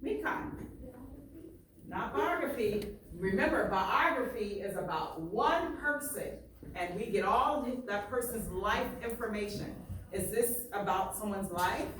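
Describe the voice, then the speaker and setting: A woman teacher speaks slowly and deliberately, repeating phrases and questions for emphasis as she addresses a class. Her voice comes from a distance in a very echoey room, with faint noise. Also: medium pitch, expressive, fairly bright tone. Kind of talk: read-aloud and class questioning. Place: indoor classroom.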